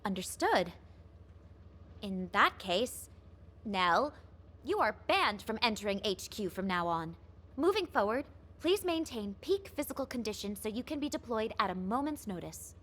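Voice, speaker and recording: A woman's voice: medium pitch, big pitch swings, a little slow, a bit bright. An actress playing a formal, authoritative woman military officer with a courteous, official manner in a video game. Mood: firm, formal. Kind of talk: video game cutscene acting.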